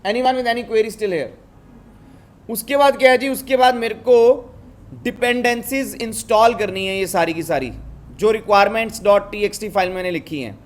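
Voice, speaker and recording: High-voiced Indian man teaching, unhurried. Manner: switches between Hindi and English; instructive, often checks understanding with tag questions. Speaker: programming instructor. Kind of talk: step-by-step live coding lesson.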